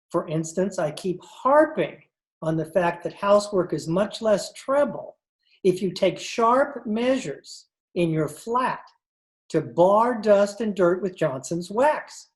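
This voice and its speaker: A woman's voice: medium pitch, lively intonation, slow pace. A woman playing a jovial, punning salesman in an old-time radio comedy. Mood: salesy punning. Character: chummy, punning wax salesman, male.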